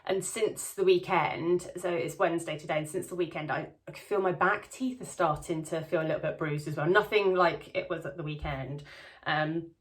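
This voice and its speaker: Woman vlogger, slightly low voice, soft lisp, rambling and chatty with lots of ums. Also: fairly even intonation, normal speed. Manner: chatty, many ums and restarts. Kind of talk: casual diary vlog. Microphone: camera close-up.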